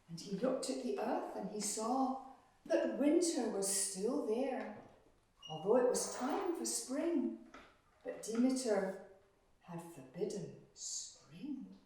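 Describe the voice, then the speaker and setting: A woman telling a story for children in a deliberate, expressive way, with dramatic pauses and repetition. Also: fairly high pitch. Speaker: storyteller, teacher. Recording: echoey room, far from mic.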